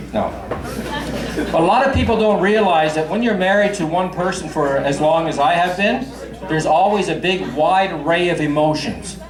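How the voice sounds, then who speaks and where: Swearing middle-aged comedian in echoey bar. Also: male voice, on the high side. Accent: faint Newfoundland. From Newfoundland, Canada. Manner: crude, chatty, swears freely. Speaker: stand-up comedian. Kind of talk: stand-up comedy. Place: bar stage.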